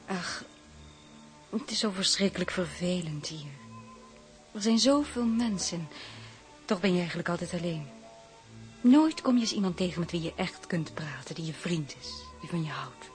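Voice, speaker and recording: Actress as low-voiced, wistful young lady. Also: normal speed, pauses often, soft background music, natural voice. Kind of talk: radio play dialogue.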